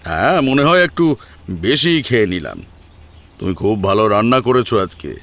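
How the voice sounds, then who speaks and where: A man acting an elderly father-in-law, head of the household, in a dramatised audio story, speaking haltingly. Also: fairly low pitch, big pitch swings, a bit bright. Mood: contented, familiar. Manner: halting, repeats words when moved.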